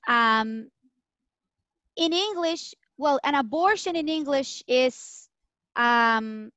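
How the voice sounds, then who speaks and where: A young woman interpreting medical terms on a video call, speaking in a careful, measured way. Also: high voice, expressive, a bit bright, no room sound. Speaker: Spanish interpreter. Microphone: laptop mic on video call. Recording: dull dry video call audio.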